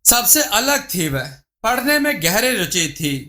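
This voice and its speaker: A man's voice: sits high, very animated, slow, bright, forceful tone. A man reading a scripted folk tale as a storyteller. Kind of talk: scripted narration of a Hindi moral folk tale.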